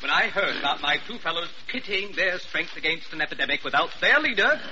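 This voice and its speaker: Pompous self important doc, high swoopy voice, declaims everything, muffled old radio. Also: male voice, animated, a little fast, sharp tone, slight background noise. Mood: grandly effusive. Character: pompous, self-important, theatrical senior male doctor. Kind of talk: vintage radio drama acting.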